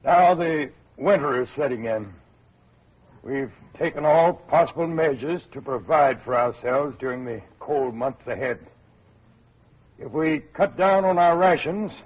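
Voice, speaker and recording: An actor playing a formal, deliberate, stern camp director in an old-time radio drama. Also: male voice, fairly high pitch, breathy edge. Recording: muffled lo-fi old radio recording.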